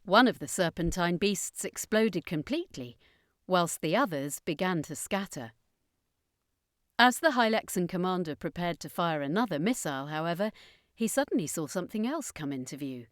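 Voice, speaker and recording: A woman's voice: lowish voice, expressive, moderate pace, no echo, studio quality. A woman reading a scripted fantasy adventure story as its narrator.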